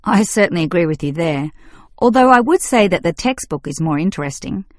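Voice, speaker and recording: Female student played by a woman, young, agreeable yet opinionated, measured scripted delivery, dry studio acoustic. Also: on the low side, animated, dry recording, close to an everyday voice. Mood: agreeable, reasoning. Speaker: student in a listening test dialogue. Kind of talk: scripted exam dialogue acting.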